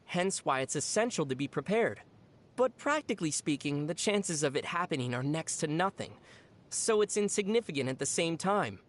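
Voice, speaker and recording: An actor playing a young traveler in a video game, speaking in a measured, thoughtful, dry and gently teasing way. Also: mid-range voice, animated, natural voice. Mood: reassuring. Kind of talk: scripted video game dialogue.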